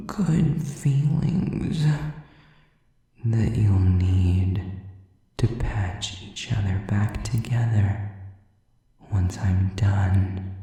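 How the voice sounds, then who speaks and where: A man playing a sadistic, contemptuous demon in an audio roleplay, whispering slowly and breathily with taunting condescension. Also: deep voice, warm tone, full character voice. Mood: mock-sweet threat. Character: sadistic, contemptuous male demon preying on humans. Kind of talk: whispered dramatic monologue to the listener. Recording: distant, very echoey, muffled sound.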